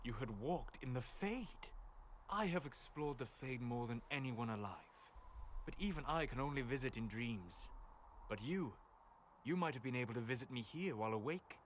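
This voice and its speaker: Guy acting a thoughtful bookish wizard for a video game, calm and formal, background noise behind him. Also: medium pitch, lightly acted. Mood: quiet wonder. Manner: measured, formal, thoughtful phrasing. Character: calm, scholarly male mage, measured and reflective. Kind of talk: scripted video game dialogue.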